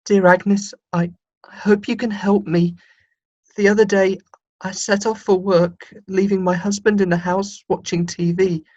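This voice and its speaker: A woman's voice: mid-range voice, flat delivery, measured pace, darkish tone, natural voice. A woman playing in an amateur radio comedy sketch, reading advice-column letters aloud earnestly and plainly. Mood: earnest, plaintive. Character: reluctant sound technician pressed into reading agony-aunt letters. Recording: dull, band-limited recording.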